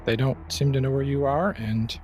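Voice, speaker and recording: A man's voice: mid-range voice, steady tone, moderate pace, darkish tone, faint music behind, lightly acted. A man playing a terse, businesslike rebel sharpshooter in a tabletop game, speaking plainly.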